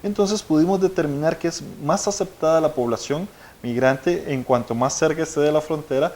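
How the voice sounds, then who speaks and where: A man, a researcher explaining findings in a measured way, pausing often with "eh". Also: fairly high pitch, darkish tone, slight background noise. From Costa Rica. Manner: measured, explanatory, frequent "eh" pauses. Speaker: researcher guest. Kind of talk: academic interview answer.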